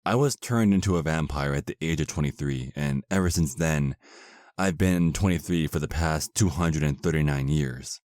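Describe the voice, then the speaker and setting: A man's voice: rumbling low, moderate pace, darkish tone, dry recording, clean studio sound. A man playing an exasperated, sarcastic vampire husband, centuries old but youthful, in a comedic audio roleplay. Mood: smug, condescending. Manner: sarcastic, complaining.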